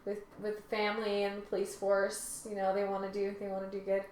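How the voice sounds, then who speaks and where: A room echo surrounds this woman's medium-pitched voice. She is a co-host, chatting casually. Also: completely flat delivery, brisk, a loud crowd. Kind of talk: casual chat.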